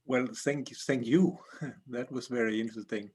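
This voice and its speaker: A man moderates an online panel and hands over between speakers, heard over a video call. He is polite and fills his pauses with many uhs and ums. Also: mid-range voice, fairly even intonation, a little mellow, slightly rough. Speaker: session moderator.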